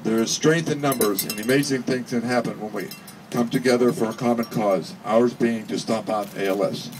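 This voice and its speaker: A man reading a prepared speech at a charity event in an earnest, measured way, occasionally stumbling over words. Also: mid-range voice, fairly even intonation. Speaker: speaker reading another person's speech. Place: charity event finish line, before a gathering. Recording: distant PA speech with background noise.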